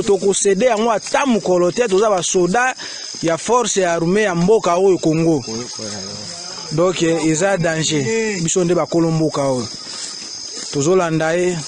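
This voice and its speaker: A man speaking as a soldier in a firm, declaring field statement outdoors in the bush. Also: fairly high pitch. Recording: noisy outdoor field recording.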